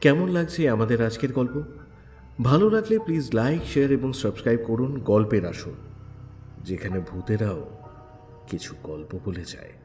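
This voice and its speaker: Man, show announcer, dark soft tone, mid pitch, friendly promotional read, light music bed. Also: lively intonation, normal speed. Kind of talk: channel promo read, friendly appeal to listeners. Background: background music.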